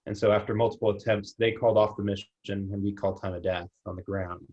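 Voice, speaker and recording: A young man with a slight New Zealand accent, a company founder talking in a relaxed, plainspoken way as an interview guest on a video call. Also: on the low side, fast talker, highs cut off. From United States. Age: young adult. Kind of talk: casual interview.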